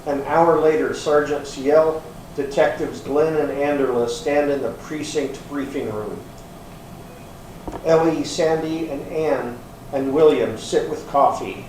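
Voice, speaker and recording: A man's voice: fairly high pitch, fairly even intonation, slow, darkish tone. A man narrating scripted stage directions for a readers theater. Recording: very echoey room, distant, faint noise.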